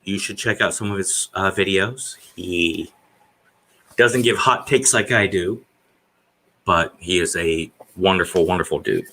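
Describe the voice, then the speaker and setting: A man in his forties chats slowly on his live stream, his deep voice carrying a bright, forceful edge. He rambles and hesitates, filling the gaps with plenty of "uh"s. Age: 40s. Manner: rambling, hesitant with many fillers. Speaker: stream host. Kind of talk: casual live-stream chat.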